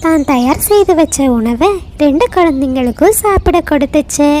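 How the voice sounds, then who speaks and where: A woman's voice: high voice, lively intonation, moderate pace, dark tone, a little hiss or hum. A woman narrating a scripted story for children.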